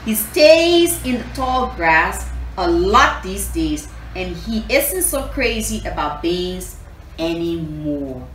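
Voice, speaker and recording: Animated woman reading to children. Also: fairly low pitch, takes it slowly. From Liberia. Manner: repetitive, asks listeners questions, teacherly. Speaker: storytelling host reading to children. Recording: roomy echo, clear background noise.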